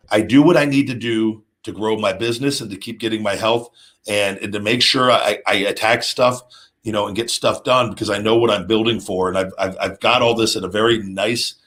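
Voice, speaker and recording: Low-voiced man in his thirties, rambling casually. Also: fairly bright tone. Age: 30s. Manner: rambling, halting, with repeated restarts. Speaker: show host, former pro wrestler. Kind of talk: casual off-the-cuff monologue answering viewers' questions.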